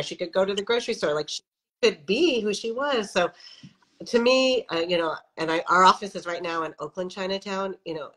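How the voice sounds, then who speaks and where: A woman's voice: on the low side, fairly bright tone, rough recording. A middle-aged woman from the Midwest, a faith leader speaking as a guest in a casual interview over a video call, reflective and conversational, with many "you know" fillers. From American Midwest. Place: home office with windows, indoors. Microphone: video call webcam.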